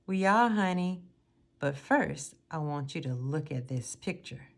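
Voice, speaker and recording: Storybook grandmother, voiced by a woman reader: elderly, wise, encouraging, low register. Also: animated, measured pace, heavily acted. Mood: kindly.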